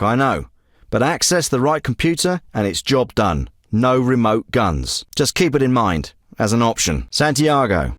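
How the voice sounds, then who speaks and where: An actor voicing a tough, curt special forces handler in a military video game, giving orders in a clipped, commanding way that turns profane under pressure. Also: male voice, medium pitch, no echo, studio quality, lightly acted. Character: tough covert ops handler, middle-aged man, curt. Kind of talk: game voice acting.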